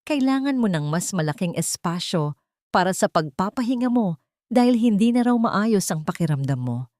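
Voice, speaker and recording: A woman's voice: medium pitch, sing-song, moderate pace, darkish tone, no echo, lightly acted. A controlling husband, read by a woman narrator in an audio story. He coats his pushy orders in sugary, false sweetness. The recording is close and dry. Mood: smooth, falsely caring. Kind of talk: audio storybook character dialogue.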